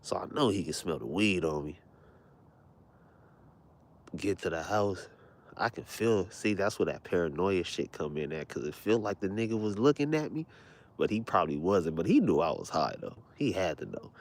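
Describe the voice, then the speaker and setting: A young man with a deep voice, telling rambling, profane stories in a laid-back, casual way, keeping his voice low so he does not wake anyone at home at night. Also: very animated, moderate pace. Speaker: vlogger. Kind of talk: casual late-night storytelling.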